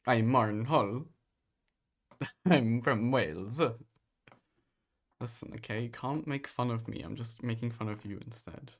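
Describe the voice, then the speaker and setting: A man's voice: medium pitch, measured pace, long pauses. A young man in a casual study chat, asking questions informally as a co-host.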